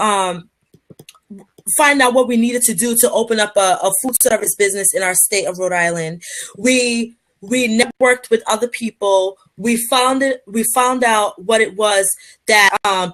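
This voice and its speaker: A woman from Liberia talks as a guest on a podcast, earnest and motivational, sprinkling in fillers and restarting her sentences. Her voice sits a little high for a woman. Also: fairly bright tone. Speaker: guest, public speaker. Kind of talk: podcast interview answers.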